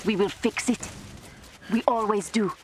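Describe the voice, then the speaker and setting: An actress playing a clever, defiant young princess with an African accent in a dramatic video game. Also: female voice, mid-range voice, a little slow, a little hiss or hum, close to an everyday voice. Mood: urgent. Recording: loud game score behind.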